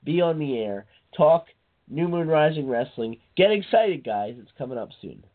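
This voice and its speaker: A man's voice: on the high side, lively intonation, normal speed. A man with a head cold and stuffy, congested voice, chatting as a radio show host. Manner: chatty, repeats himself, addresses co-host by name. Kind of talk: casual wrestling radio talk. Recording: tinny phone-like line.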